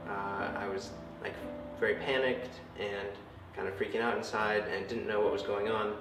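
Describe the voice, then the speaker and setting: A young man, a university student, giving a personal testimony in hesitant, measured phrases. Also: lowish voice. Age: young adult. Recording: echoey room, distant mic, music.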